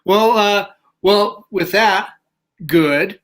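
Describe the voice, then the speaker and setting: Bright-voiced guy hosting a chat show, jokey, trips over his words a lot. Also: sits high, extremely slow. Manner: joking, swears, stumbles over words. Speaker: podcast host. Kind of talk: casual interview chat.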